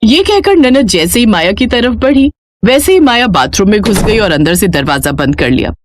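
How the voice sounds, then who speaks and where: A woman's voice: mid-range voice, big pitch swings, normal speed, slight background noise. A woman narrating a scripted moral story as its storyteller.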